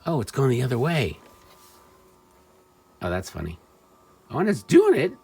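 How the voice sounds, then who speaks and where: A man giving a casual, off-the-cuff gadget demo, wry and excitable, talking to himself as he tinkers. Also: mid-range voice, normal speed, gravelly, slightly rough. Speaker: vintage electronics seller demonstrating a device. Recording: music playing behind the voice. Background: music from the player being tested.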